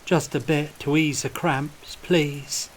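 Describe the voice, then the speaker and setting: A man voicing a timid, hungry young woman who speaks haltingly and murmurs, in an audiobook. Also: on the high side, fairly even intonation, slight background noise, voice pushed up. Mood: pleading, craving. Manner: halting, murmuring, unused to talking.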